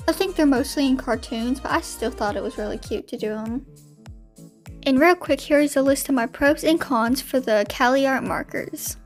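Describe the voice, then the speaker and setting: A young woman chatting casually over a voiceover, filling her talk with "like", her voice slightly hoarse. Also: high-pitched, a little mellow. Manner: chatty, many "like" fillers. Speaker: art YouTuber.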